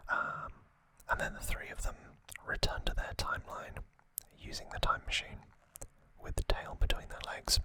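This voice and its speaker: A man giving an ASMR recap in a breathy, unvoiced whisper, speaking softly in short, deliberate phrases. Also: rough recording. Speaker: ASMR channel host.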